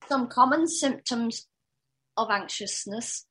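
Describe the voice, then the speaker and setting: A woman dog trainer teaching a workshop in a calm, steady voice that she pushes from the diaphragm. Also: on the high side, slow pace, husky.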